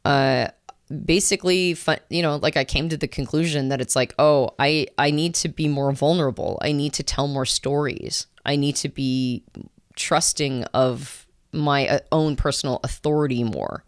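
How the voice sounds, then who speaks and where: A woman's voice: low-pitched, normal speed, no echo, studio quality. A woman co-hosting a podcast, talking in a reflective, searching way with many fillers and restarts. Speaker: podcast co-host. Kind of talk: casual conversation.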